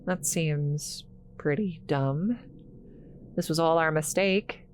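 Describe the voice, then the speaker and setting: A woman playing an awkward, kind scientist in a roleplay audio drama, halting and apologetic, stammering as she talks to the listener. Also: low-pitched, a little hiss or hum. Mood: hesitant, curious.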